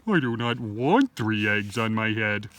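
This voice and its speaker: A man's voice: fairly low pitch, expressive, unhurried, a bit bright, far from the mic, voice pushed down. A man voicing an anxious, exasperated storybook character who exclaims in incredulous questions.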